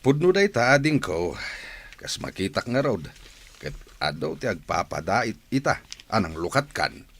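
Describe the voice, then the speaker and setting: A man performs a middle-aged Filipino father in a radio drama, very low in pitch. His intonation rises and falls widely, and he sounds concerned and quick to exasperation. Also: very animated, gravelly, fairly bright tone, slight background noise, full character voice. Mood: sympathetic, solemn.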